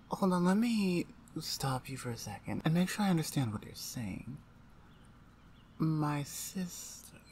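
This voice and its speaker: A woman voicing a blunt, domineering orc shaman, an older sister, in a deliberate, condescending ASMR roleplay. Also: low-pitched, darkish tone, slight background noise. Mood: demanding, incredulous. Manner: deliberate, pointed, condescending, interrogating. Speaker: audio roleplay voice actor. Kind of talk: ASMR roleplay, one-sided dramatic dialogue.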